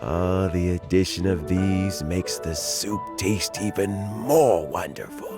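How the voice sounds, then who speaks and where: An actor playing an elderly, kindly mentor official in a video game, speaking in a gentle, reflective and deliberate way. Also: male voice, sits low, music in the background. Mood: fond, savoring. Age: older. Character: elderly kindly mentor official nearing retirement, fond of fishing. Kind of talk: video game dialogue acting.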